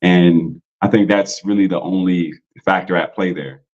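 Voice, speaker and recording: A man's voice: deep voice, steady tone, normal speed, darkish tone, muffled recording. A man in a casual podcast talk, loose and slangy, full of "like" and "you know". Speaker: podcast guest.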